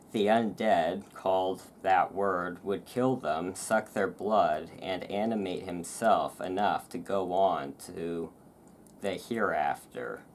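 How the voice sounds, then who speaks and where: Slow, low-pitched voice reading over rainstorm. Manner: informal, self-deprecating, stumbles over foreign words. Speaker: host reading a magazine aloud. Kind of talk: relaxed ASMR-style reading with casual asides. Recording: clear background noise of rain and thunderstorm. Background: rain and thunderstorm ambience.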